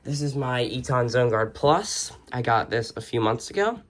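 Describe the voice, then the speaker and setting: Casual, halting radio collector showing off gear. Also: mid-range voice, animated. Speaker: video host and weather radio collector. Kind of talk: casual unscripted show-and-tell narration. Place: indoors, in a room with shelves of radios.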